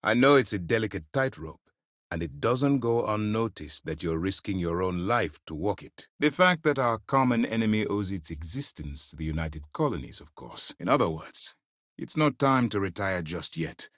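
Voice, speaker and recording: An actor playing a stern, principled middle-aged military commander in a space video game, speaking in a measured, formal, authoritative way. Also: male voice, lowish voice, animated, fairly bright tone, no echo, studio quality. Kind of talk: dramatic video game dialogue.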